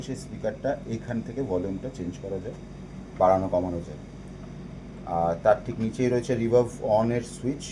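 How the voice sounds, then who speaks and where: Low-pitched Bengali man explaining, noisy room. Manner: explanatory, mixes in English technical terms. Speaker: presenter demonstrating audio equipment. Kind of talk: informal product walkthrough, explaining step by step.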